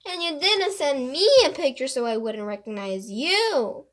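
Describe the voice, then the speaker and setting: A woman's voice: high-pitched, animated, measured pace, a bit bright, voice pushed up. A woman voicing an eager, curious boy detective in a storybook, with earnest emphasis.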